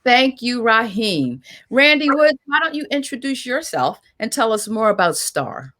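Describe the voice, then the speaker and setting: A woman's voice: fairly high pitch, very animated, a little slow, sharp tone. A woman hosting a virtual panel discussion, introducing people and topics with emphatic, complimentary delivery. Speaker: panel host and moderator.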